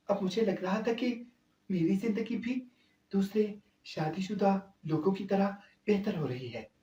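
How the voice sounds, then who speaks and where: A man voicing a devoted, hurt young wife who confides quietly and gently in her husband, in a storytelling recording. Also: high-pitched, dull audio, pitched above the performer's own voice. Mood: wistful. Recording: echoey, distant-sounding voice.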